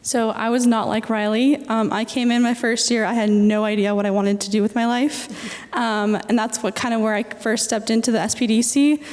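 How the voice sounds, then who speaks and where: A woman's voice: fairly high pitch, steady tone, normal speed, a little mellow. A senior student in her twenties from Chile, speaking conversationally with frequent fillers on a panel. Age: 20s. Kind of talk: panel discussion. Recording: long hall reverb.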